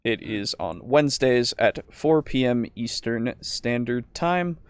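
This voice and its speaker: A man's voice: medium pitch, lively intonation, takes it slowly, clean tone, dry recording. A man hosting a podcast, talking casually in an informal, rambling way and prone to chuckling. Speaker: podcast host. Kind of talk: casual podcast chat and show sign-off.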